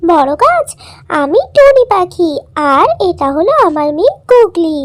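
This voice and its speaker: Woman as an anxious high-voiced mother bird. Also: big pitch swings, measured pace, no echo, full character voice. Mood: pleading. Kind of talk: children's cartoon voice acting.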